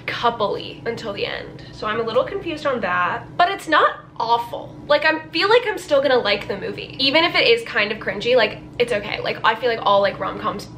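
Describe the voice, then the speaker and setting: A woman in her twenties giving a casual, expressive, informal vlog reaction with lots of "like". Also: on the high side, fairly quick, few pauses, rough recording. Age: 20s. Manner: expressive, informal, filler-heavy "like". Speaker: book vlogger. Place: small bedroom, indoors.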